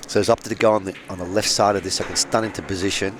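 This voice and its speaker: A middle-aged Australian man giving casual, excitable and opinionated live commentary on a pool match. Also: deep voice, racing. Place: pool venue, close to the players. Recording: very noisy live venue recording.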